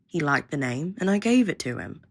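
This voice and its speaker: Actress as jaded young female researcher, low pitch, creaky, blunt, sardonic, grudging, audio roleplay. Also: very animated, a little fast, heavily acted. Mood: fond, matter-of-fact.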